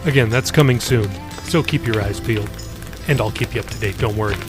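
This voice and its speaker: A man's voice: on the low side, a little fast, music in the background. A man who hosts and narrates a podcast, speaking to listeners in an earnest, plain-spoken way. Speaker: podcast host, author and narrator. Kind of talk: narration and direct address to listeners.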